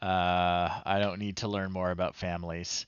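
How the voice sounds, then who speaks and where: A man's voice: low-pitched, flat delivery, moderate pace, smooth, no echo, dull audio. A man in his thirties doing casual, wry livestream commentary, chatting to himself and reading screen text aloud as he plays. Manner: wry, chatty, thinking out loud. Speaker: livestream host.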